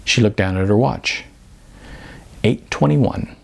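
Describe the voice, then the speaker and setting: A man narrating comic fiction as an expressive audiobook reader. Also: lowish voice, takes it slowly, vocal fry, slightly rough.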